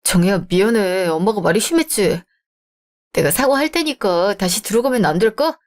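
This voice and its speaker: A woman's voice: medium pitch, lively intonation, moderate pace, natural voice. A woman voicing a young man caught between his mother and his fiancée in a radio drama, placating and wheedling. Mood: pleading.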